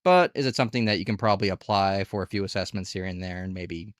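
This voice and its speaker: A man's voice: low-pitched, quick pace, no echo, studio quality. A man hosting a conversational review and tutorial, speaking casually and candidly and posing rhetorical questions. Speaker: video host reviewing online gig work platforms.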